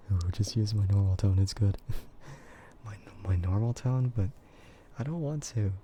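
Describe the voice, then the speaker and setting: Young male streamer, soft whispery voice, deep and dark in tone, slow and hesitant. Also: a little hiss or hum. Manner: hesitant, full of fillers and quiet laughs. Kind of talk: soft-spoken ASMR chat with viewers.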